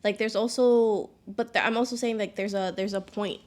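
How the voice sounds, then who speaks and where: A woman's voice: medium pitch, steady tone, normal speed, a little mellow. A young woman co-hosting a casual podcast, rambling with constant "like" fillers. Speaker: podcast co-host.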